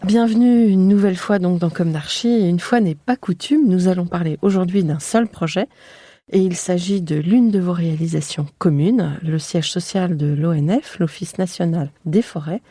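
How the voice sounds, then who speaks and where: Mellow woman hosting an interview. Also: lowish voice, moderate pace, no room sound. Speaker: podcast host and interviewer. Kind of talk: conversational interview.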